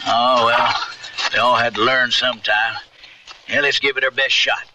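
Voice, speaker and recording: An actor playing a brash, good-natured old-west cowpoke in a radio drama, drawling colorful slang with an American Western accent. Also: male voice, mid-range voice, steady tone, normal speed, distant mic, noisy background, lightly acted. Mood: friendly, teasing. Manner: colloquial, drawling cowboy slang.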